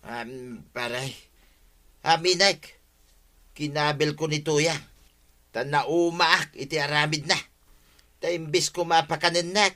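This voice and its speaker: Sheepish village husband, piercingly bright voice. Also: male voice, a little high, steady tone, takes it slowly, pauses often. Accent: Ilocano. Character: defensive, sheepish village husband and drinking buddy. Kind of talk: Ilocano radio comedy drama acting.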